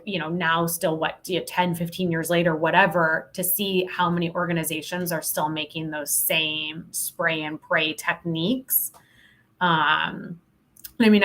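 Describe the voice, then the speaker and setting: A woman's voice: fairly low pitch, fairly bright tone. A woman talking candidly and emphatically as a podcast guest, giving informal expert advice in a casual conversation.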